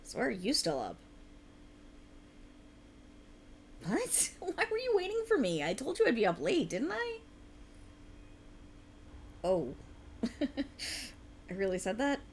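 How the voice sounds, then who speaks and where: Casting: woman as a tired writer girlfriend for ASMR roleplay, lively swooping pitch, earnest, playfully defensive, faint noise behind. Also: unhurried, long pauses, exaggerated voice. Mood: sheepish, weary. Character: tired, rambling, passionate young woman writer, teasing girlfriend. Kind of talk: ASMR girlfriend roleplay, intimate conversational acting.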